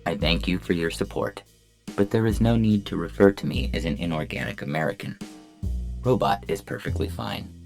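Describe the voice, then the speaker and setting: A man voicing a gentle, courteous robot patient with polite, precise, formal phrasing in a science fiction audio story. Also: deep voice, moderate pace, creaky. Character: gentle, scrupulously courteous childlike-eyed robot therapy patient. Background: music.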